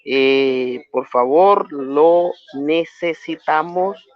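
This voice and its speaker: A man from Nicaragua with a Nicaraguan accent moderating an online debate, speaking formally with frequent hesitations. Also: a little high. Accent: Nicaraguan Spanish. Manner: measured, formal, many hesitations. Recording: dull lo-fi online stream audio.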